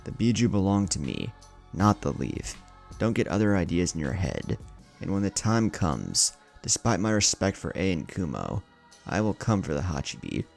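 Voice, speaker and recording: A man's voice: sits low, sing-song, normal speed, gravelly, a little mellow, faint music behind, exaggerated voice. A man voicing a cold, arrogant young ninja in a menacing anime-style story. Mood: cold menace. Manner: cold, threatening delivery.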